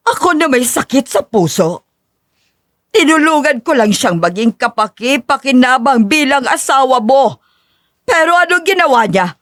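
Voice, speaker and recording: A woman's voice: high-pitched, lively intonation, moderate pace, a bit bright, no echo, clean studio sound. A woman playing a haughty, cruel older mother-in-law in a dramatized audio story, disdainful and imperious. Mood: scornful.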